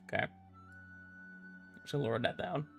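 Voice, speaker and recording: A man with a fairly high voice hosts a casual gaming livestream. He talks conversationally and a bit haltingly, repeating words as he answers chat, with game music playing behind him. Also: creaky. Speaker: livestream host, gamer.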